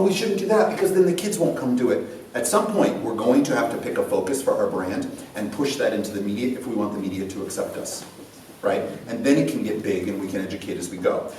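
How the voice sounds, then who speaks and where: A man co-hosting a talk about dance before a live audience, animated and laughing often, repeating his words. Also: medium pitch, very echoey, recorded from a distance, a little hiss or hum, rough recording. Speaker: dance instructor and show co-host. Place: event room with a live audience.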